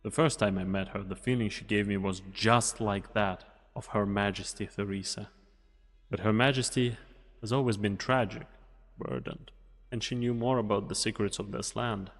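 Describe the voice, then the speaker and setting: A man in a fan dub of a game, playing a weary, guarded mercenary with a grave, measured, commanding delivery. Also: on the low side, fairly even intonation. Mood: somber. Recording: long reverb on the voice.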